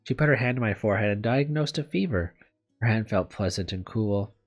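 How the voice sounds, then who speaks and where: Guy voicing sick anxious lady teacher from a visual novel, low voice, hesitant, feels sorry for herself, music behind. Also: animated, a little fast. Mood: glum, apologetic. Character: anxious, self-doubting young woman teacher, bedridden with fever. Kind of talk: visual novel read aloud. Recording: background music under the voice.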